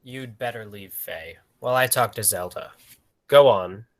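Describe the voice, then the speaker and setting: A man's voice: on the low side, unhurried, natural voice. A man playing an exasperated circus manager in a 1940s-style radio play. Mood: placating.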